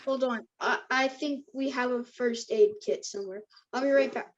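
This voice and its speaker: A woman plays a worried, caring teenage boy in a script table read. The voice is distinctly high. The recording is dull and lo-fi. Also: normal speed, lightly acted. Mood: urgent, concerned. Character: Max, anxious, caring teenage boy helping a friend.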